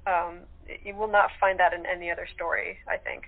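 A woman's voice: lowish voice, little pitch movement, fairly quick, creaky. Woman chatting over a tinny phone line. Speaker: radio-play actress, interview guest. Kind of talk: casual interview chat.

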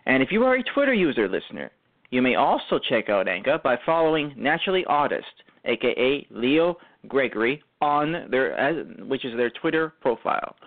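A man presents a live radio show in a mid-range voice. His phrasing is formal and ornate, like a scripted announcement, with halting stumbles along the way. The sound is thin and narrow, as if down a telephone line. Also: mid-range voice, big pitch swings. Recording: tinny phone-like internet radio line.

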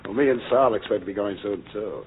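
A man's voice: on the low side, normal speed, slight background noise, lightly acted. An American man playing an easygoing, skeptical young songwriter in a 1940s radio drama, casual and wry, on a tinny old recording.

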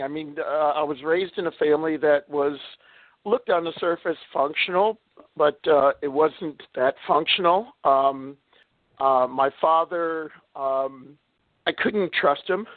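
Hoarse-voiced male guest on the phone. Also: a little high, dry recording. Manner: chatty, laughs often, many fillers. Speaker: guest author. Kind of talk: casual interview talk. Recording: tinny phone line.

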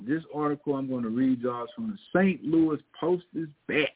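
A man's voice: medium pitch, moderate pace, slightly rough. A man from the American Midwest hosting a show, reading the news and commenting on it in a casual, emphatic way, often speaking straight to his listeners. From Missouri, American Midwest. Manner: conversational, emphatic, keeps addressing listeners directly. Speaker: show host and priest. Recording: tinny phone-like sound.

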